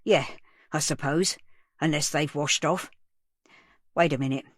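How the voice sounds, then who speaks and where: A woman's voice: sits low, measured pace, husky, no echo, clean studio sound. A woman voicing a nervous male cafe owner under police questioning, speaking defensively with a tremor in his voice. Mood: guarded. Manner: defensive, voice trembling.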